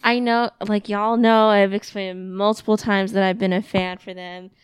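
A woman's voice: mid-range voice, flat delivery, normal speed, clear, resonant tone, no room sound, studio quality. A woman chatting casually on a podcast, rambling with many fillers and restarts. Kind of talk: casual chat.

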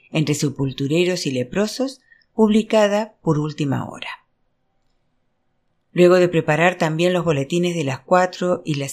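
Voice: medium pitch, a little mellow. A narrator reading literary fiction aloud as an audiobook, in a scripted storytelling style. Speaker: audiobook reader.

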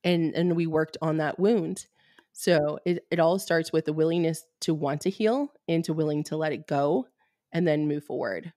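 Low-voiced woman explaining healing work. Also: moderate pace, dry recording, clean studio sound. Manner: steady, explanatory, blunt at times, with frequent fillers. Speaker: Reiki fertility healer, guest. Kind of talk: podcast interview, explaining.

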